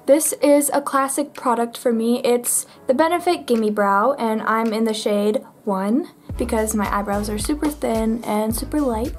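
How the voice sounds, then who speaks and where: Chatty teen girl, high voice. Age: teens. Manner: chatty, bubbly, lots of "like". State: getting over a cold. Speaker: beauty vlogger. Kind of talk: makeup tutorial chat. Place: bedroom vanity, indoors.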